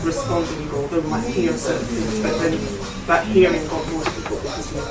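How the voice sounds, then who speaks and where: A woman's voice: deep voice, fast talker. Reflective woman in an echoey room. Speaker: support group member. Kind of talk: group discussion. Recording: echoey room, distant mic.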